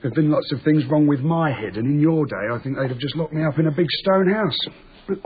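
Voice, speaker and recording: A man's voice: a little high, a little fast, lightly acted. A man acting in a radio drama as an earnest, simple working man who explains things in a rambling, naive, matter-of-fact way. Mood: matter-of-fact musing. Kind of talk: naturalistic radio drama dialogue. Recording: tinny lo-fi radio broadcast, noisy.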